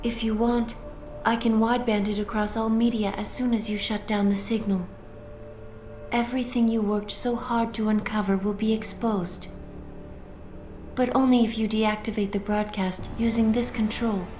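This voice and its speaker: A woman's voice: on the high side, level tone, normal speed, darkish tone, natural voice. An enigmatic female artificial intelligence who reads the news in a video game, played by an actress. She is formal, solemn and composed. Her voice echoes over faint music. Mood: solemn composure.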